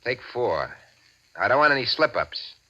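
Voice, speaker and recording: A man's voice: medium pitch, sing-song, moderate pace, sharp tone. An actor playing a boastful, bossy crime boss in an old radio drama, with slangy, self-assured tough-guy talk. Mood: cold, scheming. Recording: dull old radio recording.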